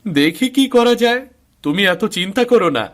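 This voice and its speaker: A man's voice: sits high, expressive, normal speed. A man playing a dutiful young husband in a Bengali cartoon story. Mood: reassuring.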